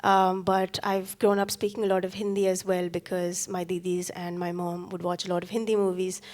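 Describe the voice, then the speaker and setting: Soft-spoken young singer-songwriter from Sikkim. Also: female voice, on the low side, level tone, normal speed. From Sikkim, India. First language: Nepali. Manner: earnest, hesitant, many ums and restarts. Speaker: singer-songwriter performing live. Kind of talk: talking to the audience between songs. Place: stage at a live arts event.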